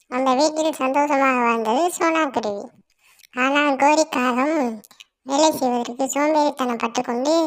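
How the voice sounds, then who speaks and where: A woman reads a scripted children's moral story aloud with a clear, steady storybook delivery. Her voice sits notably high.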